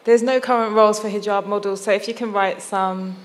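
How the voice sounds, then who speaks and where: A woman's voice: mid-range voice, fairly even intonation, a little fast. A woman from the United Kingdom, a guest on a podcast, speaking in a wry, joking yet thoughtful way. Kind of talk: casual discussion.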